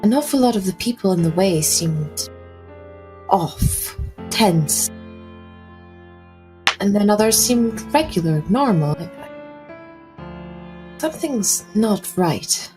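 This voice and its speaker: A woman playing a watchful, uneasy lady investigator in a tabletop mystery, halting and brooding as she speaks. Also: mid-range voice, expressive, dark tone, faint music behind. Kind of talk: improvised tabletop roleplay.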